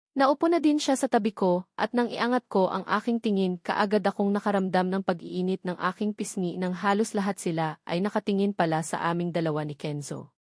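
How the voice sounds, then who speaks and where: A woman's voice: medium pitch, darkish tone, no echo, studio quality. A woman with a Filipino accent reading a first-person novel as a scripted narration. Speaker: audiobook narrator.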